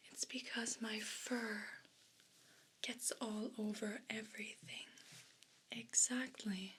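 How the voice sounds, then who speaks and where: A woman voicing a vain, pompous evil house cat in a breathy whisper for an ASMR role play. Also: mid-range voice, fairly bright tone. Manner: pompous, deliberate, formal wording.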